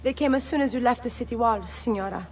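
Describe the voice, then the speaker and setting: An actress playing an urgent, deferential Renaissance servant in a game cutscene. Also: female voice, on the high side, fast, darkish tone, soft background music, close to an everyday voice. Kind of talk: dramatic game cutscene acting.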